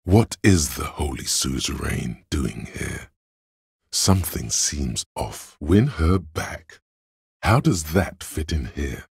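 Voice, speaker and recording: An actor playing a calm, analytical inner voice of logic in a video game, gravelly and husky, speaking in a dry, measured, knowing way. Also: male voice, a bass voice, dry recording, exaggerated voice. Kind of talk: video game voice-over narration.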